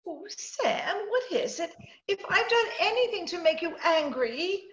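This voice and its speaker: Casting: sweet, bewildered elderly lady, piping high and fluttery, roomy video-call sound, vintage radio drama. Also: very animated, measured pace, fairly bright tone, lo-fi sound, full character voice. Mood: hurt, bewildered. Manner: plaintive, fluttery, polite. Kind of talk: 1940s radio drama acting. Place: echoey room at home, video call.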